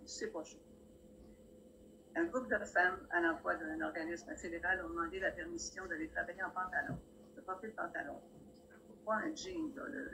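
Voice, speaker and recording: Older woman, low-pitched, plainspoken storyteller, video call with faint hiss. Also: vocal fry, fairly bright tone, low-quality recording. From Montreal. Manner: plainspoken storyteller, wry asides. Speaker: guest speaker. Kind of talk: personal anecdotes at a community talk. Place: library room with bookshelves, indoors. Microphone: video call, laptop camera.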